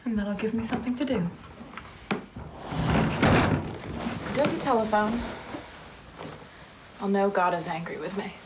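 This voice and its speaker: A woman's voice: medium pitch, animated, slow pace, pauses often, heavy background noise. Woman playing an anxious young woman pleading. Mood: anxious bargaining. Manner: pleading, swings between whispers and outbursts. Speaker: actress performing a monologue. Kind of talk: dramatic monologue.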